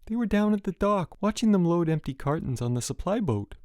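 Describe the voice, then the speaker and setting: A man's voice: high-pitched, animated, a little fast, a little mellow, dry recording, pitched above the performer's own voice. A man voicing a boy camper who reports plainly and matter-of-factly, recorded dry.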